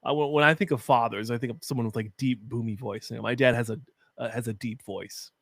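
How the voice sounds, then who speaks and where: A young man with a deep voice, chatting casually and giving opinions while hosting a live-stream watch party. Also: fairly even intonation, fast, gravelly, fairly bright tone, no echo. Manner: chatty, opinionated, frequent fillers.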